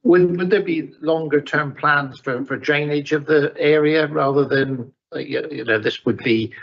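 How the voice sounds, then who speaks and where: Casting note: husky, gravelly committee chair, polite and hesitant, on a dull recording. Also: male voice, fairly high pitch, moderate pace. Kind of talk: chairing a council meeting.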